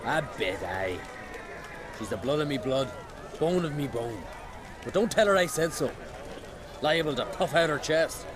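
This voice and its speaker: Brash young warrior. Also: male voice, on the high side, animated, measured pace, pauses often, a crowd in the background. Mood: grudgingly fond. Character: young clan warrior, brash, rueful, proud brother. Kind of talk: game cutscene acting.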